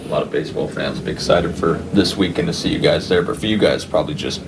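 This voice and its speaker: Fast, deep-voiced male sports reporter. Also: creaky. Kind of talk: press conference questions. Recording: noisy press room.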